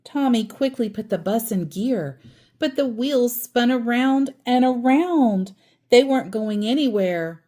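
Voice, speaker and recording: A woman's voice: on the high side, animated, measured pace, mellow. A woman reading a children's story aloud expressively, with casual asides along the way.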